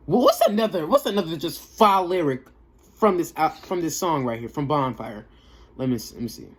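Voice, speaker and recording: A man's voice: on the high side, animated, normal speed. A young man giving a casual, off-the-cuff music review in a slangy, emphatic way, often repeating himself for effect. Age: young adult.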